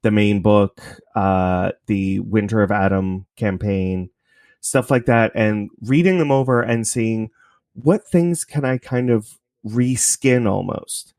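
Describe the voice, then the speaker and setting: A man's voice: fairly low pitch, takes it slowly, a little mellow, no room sound, clean studio sound. A man from Canada talking casually and informally as an interview guest, thinking aloud as he explains his creative work.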